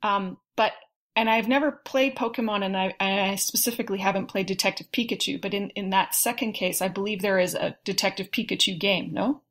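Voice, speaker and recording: Woman making measured points in discussion. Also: mid-range voice, fairly bright tone. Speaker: panel guest. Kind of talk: casual film discussion.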